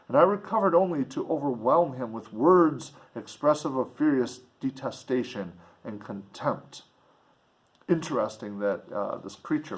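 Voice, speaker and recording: A man's voice: mid-range voice, slightly rough, rough recording. A man reading a classic novel aloud expressively as narrator and commentator. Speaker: reader and commentator. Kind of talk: expressive audiobook narration with casual asides.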